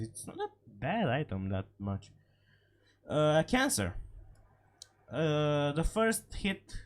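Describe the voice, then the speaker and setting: A man giving casual, unscripted game commentary, halting and repeating short phrases, his voice slightly hoarse from a cold. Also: fairly high pitch. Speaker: gaming video creator.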